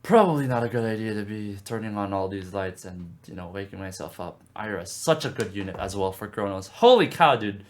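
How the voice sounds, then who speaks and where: A man's voice: lowish voice, expressive, brisk, few pauses. Rambling young man chatting about a game. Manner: rambling, upbeat, many "like" fillers and restarts. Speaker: gaming video creator. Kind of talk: casual game commentary vlog.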